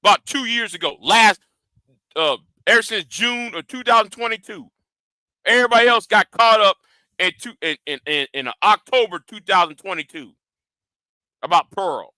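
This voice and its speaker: A man's voice: high voice, animated, normal speed, bright, forceful tone, no echo, rough recording. A man hosting his own channel, delivering a combative, profane rant and taunting viewers. He often repeats words and restarts phrases. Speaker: channel host. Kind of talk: confrontational livestream rant.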